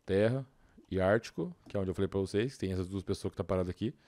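A man's voice: low-pitched, fast, dark tone, dry recording. A Brazilian man doing casual gameplay commentary, informal and slangy, thinking aloud into a close mic. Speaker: gaming YouTuber.

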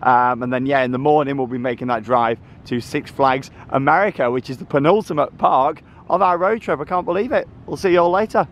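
A man's voice: medium pitch, fairly quick, hardly any pauses. A British man, probably in his thirties, narrating a travel vlog in a casual, chatty way and repeating himself. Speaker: travel vlogger. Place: varies: open car park, moving car, hotel room. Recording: clear background noise, car and outdoors.

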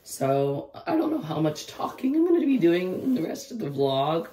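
A young woman in her twenties vlogging casually, hoarse as she loses her voice, rambling with lots of fillers. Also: on the low side, big pitch swings, normal speed, dark tone, reverberant, recorded from a distance. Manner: rambling, lots of "like" and "I don't know". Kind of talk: casual vlog chat. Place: car, bedroom and outdoor park. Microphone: phone or camera held at arm's length.

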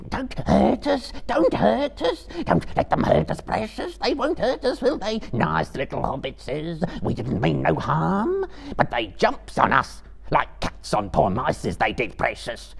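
A man voicing a wretched, hissing, sly and cringing creature with a raspy, creaky voice in a dramatic reading of a story. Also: a little high, wildly swooping pitch, measured pace, few pauses, voice pushed up, full character voice. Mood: cringing, pleading. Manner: whimpering and wheedling, broken grammar, repeats himself.

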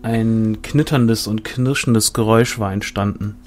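Calm male narrator over soft guitar. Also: on the low side. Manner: calm, measured reading. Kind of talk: scripted storytelling. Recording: soft guitar music behind.

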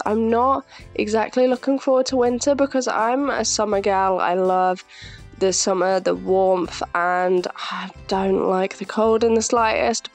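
A young woman with a slightly croaky voice from a sore throat, chatting casually as she narrates a voiceover for her art video and laughing often. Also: medium pitch. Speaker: art channel host.

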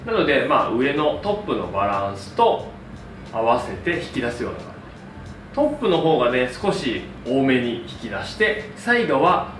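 Male hairdresser presenter, thirties, upbeat and explanatory, mid-pitched, recorded in an echoey room. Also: sing-song, normal speed, fairly bright tone. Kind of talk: instructional hair tutorial to camera. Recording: roomy echo, distant mic, background music. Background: music.

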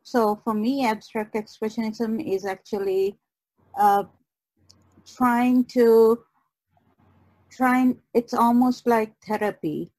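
Slow, halting woman guest, dry sound. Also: a little high, steady tone, darkish tone, no echo, poor audio. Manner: halting, searching for words. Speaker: guest artist. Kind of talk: casual podcast chat.

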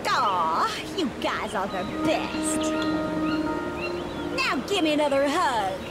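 A high, swooping female voice plays a dramatic TV reporter in a game. She draws her words out slowly and theatrically. Loud music plays underneath. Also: sing-song, exaggerated voice. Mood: affectionate. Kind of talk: polished news delivery and emotional game cutscene acting.

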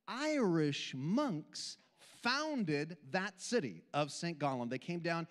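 An older man from Switzerland, Swiss German his first language, giving a humorous sermon with animated, self-deprecating storytelling into a handheld mic on an indoor stage. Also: a little high, takes it slowly. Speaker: camp speaker. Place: indoor hall stage with audience. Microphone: handheld microphone. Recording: long hall reverb.

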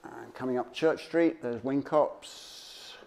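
A man speaking as a local history presenter, giving an informal slide talk to an audience in a halting, conversational, off-the-cuff way. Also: medium pitch, creaky, a little mellow, poor audio.

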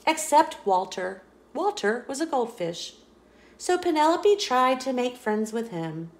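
A woman reading a children's picture book aloud in a playful, expressive storytelling voice, indoors. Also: on the high side, unhurried. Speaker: storybook reader. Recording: roomy echo.

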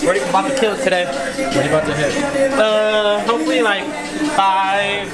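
A man's voice: sits high, brisk, over a noisy crowd. A man chatting upbeat in a busy gym, recorded from some distance. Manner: upbeat, hyped. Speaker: gym member. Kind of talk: casual chat. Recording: far from mic in a noisy gym with loud music.

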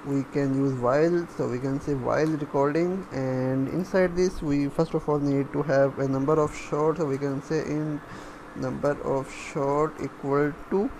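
A man giving a step-by-step coding tutorial, halting as he thinks aloud while typing. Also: on the high side, steady tone. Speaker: programming tutorial instructor. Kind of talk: step-by-step coding screencast narration. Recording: faint background noise.

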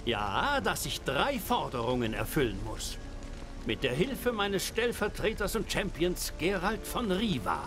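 A man's voice: high voice, very animated, a little slow, over music. An actor playing a smug, sinister male trickster who tells tales in a theatrical, insinuating way in game dialogue. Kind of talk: dramatic video game dialogue.

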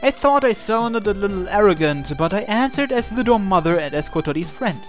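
Expressive visual novel narrator over soft music. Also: mid-range voice, brisk. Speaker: let's play host. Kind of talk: reading visual novel text aloud, let's play narration. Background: game music.

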